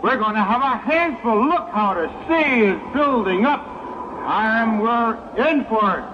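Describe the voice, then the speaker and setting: Devout, commanding ship's captain, male actor, declamatory and slow-paced, 1960s audio drama on a dull, hissy recording. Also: high-pitched, animated. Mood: alarmed, commanding. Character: commanding sea captain, devout, growing alarmed in a storm.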